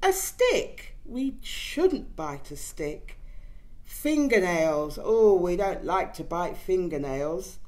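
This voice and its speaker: A middle-aged woman reading a picture book aloud to young children, expressive and gently teacherly, putting questions to them. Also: on the low side, slow pace. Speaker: children's story time reader. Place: indoors in front of a decorated backdrop.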